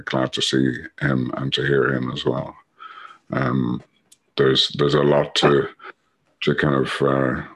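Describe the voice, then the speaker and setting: A middle-aged Irish man sharing his story on a video call, rambling with many fillers and a dry, sweary humor. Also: rumbling low. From Ireland. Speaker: recovery meeting speaker.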